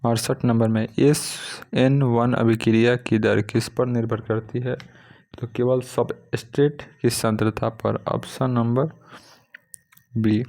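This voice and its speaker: A man with a medium-pitched voice teaches for a competitive exam. He reads each practice question aloud in a methodical way. Then he states the correct option and moves on. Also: little pitch movement, takes it slowly, vocal fry, a little mellow. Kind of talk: reading practice questions and giving answers.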